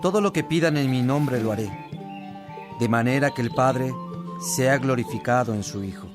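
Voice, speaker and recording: A man playing a practical monk who reads scripture in a radio drama, declamatory and deliberate. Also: mid-range voice, music in the background, lightly acted. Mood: solemn. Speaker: actor in a religious radio drama. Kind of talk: acted radio drama and solemn scripture reading.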